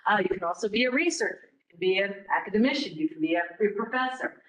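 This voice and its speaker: Woman, middle-aged, program director, classroom talk, rhetorical questions, no mic, medium pitch. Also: lively intonation, fast, fairly bright tone, rough recording. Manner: conversational, encouraging, with rhetorical questions and fillers. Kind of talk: informal presentation to students. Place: classroom with a live audience. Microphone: no mic on, room recording.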